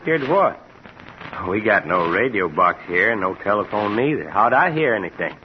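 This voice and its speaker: Deep, drawling backwoods son. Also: male voice, big pitch swings, normal speed. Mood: guarded. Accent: rural American. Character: heavy-set, dutiful backwoods son, plain-spoken and guarded. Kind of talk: 1950s radio drama acting. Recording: muffled, hissy vintage radio recording.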